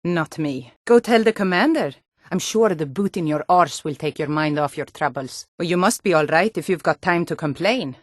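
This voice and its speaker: An actress playing a hardy, proud Nord warrior woman in a fantasy video game, with a blunt, forceful, martial delivery. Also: low voice, animated, moderate pace, no room sound, clean studio sound. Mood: curt, stern.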